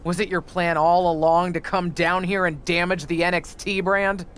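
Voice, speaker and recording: An actor as a skeptical, resentful colleague in a wrestling game cutscene. The voice is mid-pitched, with blunt, accusing delivery. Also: little pitch movement, normal speed, a bit bright, a little hiss or hum, natural voice. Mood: reproachful. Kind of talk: video game cutscene acting.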